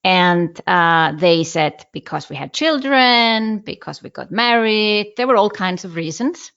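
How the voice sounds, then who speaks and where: A woman's voice: medium pitch, animated, measured pace, a bit bright, clean tone. Icelandic woman telling her story, slightly dull audio. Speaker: interview guest, business coach. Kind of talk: personal storytelling in an interview.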